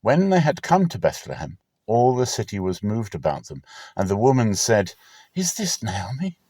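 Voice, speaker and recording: Expressive male dramatic scripture narrator. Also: fairly low pitch. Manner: solemn, measured storytelling.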